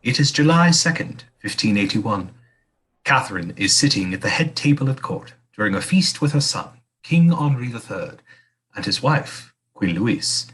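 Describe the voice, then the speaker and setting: A man narrating an audio play in a measured, scripted storybook delivery. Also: medium pitch, very animated, a bit bright, breathy edge, rough recording.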